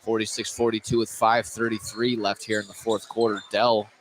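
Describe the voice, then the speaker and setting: A man's voice: lowish voice, level tone, normal speed. A man doing live play-by-play radio commentary of a basketball game, often repeating and restarting phrases as he follows the action. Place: indoor gymnasium during a basketball game. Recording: noisy live gym broadcast. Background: loud gym ambience, bouncing basketball.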